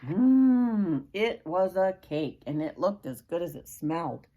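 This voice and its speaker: A woman's voice: on the low side, sing-song, slow pace, mellow, dull audio. An older woman reading a children's storybook aloud expressively, with dramatic emphasis and asides to young listeners. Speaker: grandmother reading a picture book aloud.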